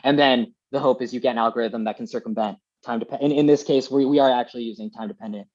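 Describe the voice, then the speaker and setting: A young man from Colombia talks through his research on a video call, with frequent ums and restarts. His voice is mid-pitched. The audio is dull and lo-fi. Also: steady tone, quick pace, a little mellow. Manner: explains at length, restarts, many ums. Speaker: presenting Fulbright scholar. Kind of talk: casual academic Q&A.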